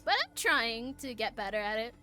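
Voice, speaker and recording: Streamer lady, spanish first language, bit high voice, stumbles and restarts a lot, game music low. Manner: halting, many restarts and fillers, animated asides. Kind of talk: reading game text aloud and chatting.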